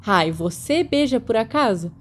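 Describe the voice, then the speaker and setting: A woman voicing a competitive, easily riled teenage schoolboy who gives challenging, drawn-out jibes in an audiobook. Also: on the high side, animated, slight background noise, voice pushed up, natural voice. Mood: teasing.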